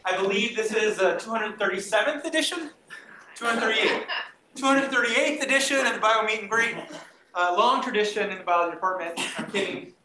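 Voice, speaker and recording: A man opening an event with halting, joking remarks full of fillers. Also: high-pitched. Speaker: event organizer. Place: lecture room. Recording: roomy with faint noise.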